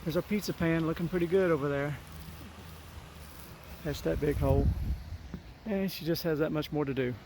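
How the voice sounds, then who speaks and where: Folksy man, voice rough from a cold. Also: on the high side, moderate pace, long pauses, darkish tone, slight background noise. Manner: folksy, rambling with restarts. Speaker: DIY vlogger. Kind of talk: casual narration while working. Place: outdoors on an RV roof.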